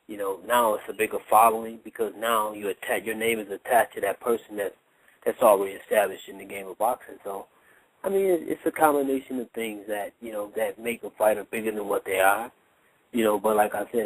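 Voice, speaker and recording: A man's voice: on the low side, no echo, rough recording. A man talking casually as a boxing trainer in a radio interview, explaining his points with emphasis and repetition. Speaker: boxing trainer, guest analyst.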